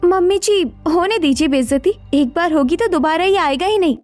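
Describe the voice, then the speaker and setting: A woman plays a young daughter-in-law in a poor cartoon household. She is exasperated and indignant, complaining in a very high-pitched voice over music. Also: fairly quick, a little mellow.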